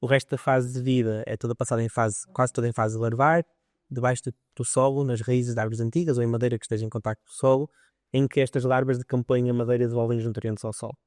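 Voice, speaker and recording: A man's voice: medium pitch, little pitch movement, fast talker, a little mellow, dry recording, studio quality. A young man from Portugal, telling his story as a biologist guest on a podcast, eager and full of detail. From northern Portugal. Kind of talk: podcast interview.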